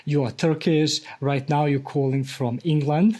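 A man from Bosnia hosts a conversational podcast interview, searching for words with frequent pauses. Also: on the high side, steady tone, a little mellow. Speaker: podcast host.

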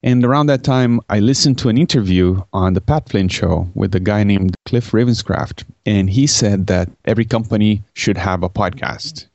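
Upbeat Brazilian-born man, low voice. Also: normal speed, few pauses, darkish tone, dry recording, highs cut off. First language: Portuguese. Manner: upbeat, laughs often, self-deprecating. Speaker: podcast guest. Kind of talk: casual interview talk.

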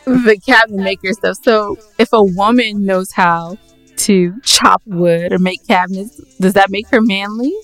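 A woman's voice: medium pitch, a little slow, no echo, soft background music, poor audio. A woman co-hosting a podcast, arguing her points firmly in casual conversation. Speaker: podcast co-host. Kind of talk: casual debate chat.

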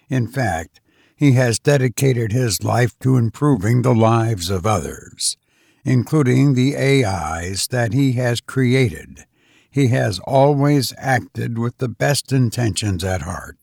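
A man's voice: mid-range voice, vocal fry, darkish tone, dry recording, studio quality. A man voicing a kindly middle-aged male defense attorney, earnest and formal, in an audiobook.

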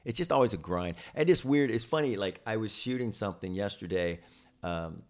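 A man's voice: on the low side, animated, brisk. A man in casual conversation as a podcast guest, telling rambling, self-deprecating anecdotes as a comedian would. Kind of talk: casual chat.

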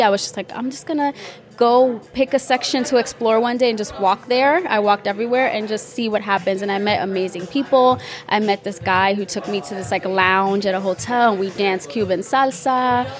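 A woman with a Dominican accent, a podcast guest, casually and frankly telling a travel story in a bar. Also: medium pitch. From Dominican Republic.

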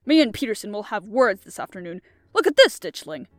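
A rugged, hot-headed male agitator from an old adventure story , played by a woman. He snarls and demands, loud and emphatic, with big pitch swings. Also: lively intonation, fast talker, no room sound, clean studio sound, natural voice. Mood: livid. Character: rugged, hot-tempered male political extremist.

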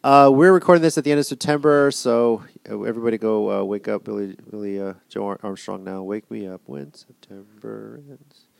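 A man's voice: fairly low pitch, steady tone, a little fast, vocal fry, dry recording. A man hosting a podcast in a casual, chatty style. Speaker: podcast host.

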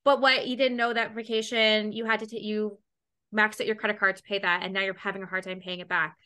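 Bright-voiced chatty woman podcast host. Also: mid-range voice, fairly quick, smooth. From Canada. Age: 20s. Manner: swears freely, animated and chatty. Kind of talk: casual interview chat.

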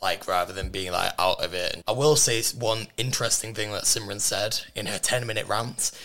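A young man hosting a casual radio chat show from a studio, his voice hoarse and worn, teasing and laughing often. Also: on the low side, a little fast, sharp tone. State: hoarse, lost voice. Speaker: radio host. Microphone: studio microphone.

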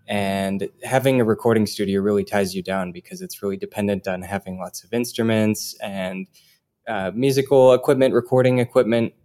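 A man's voice: fairly low pitch, a little mellow. A man from Minnesota, a former music producer, telling his story as an interview guest. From Minnesota, USA. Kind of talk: casual interview chat.

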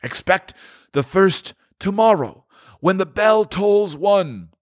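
A man voicing an anguished, mournful old male ghost in chains, declaiming in a drawn-out lament. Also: high voice, lively intonation, no room sound, clean studio sound, voice pushed up. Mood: solemn.

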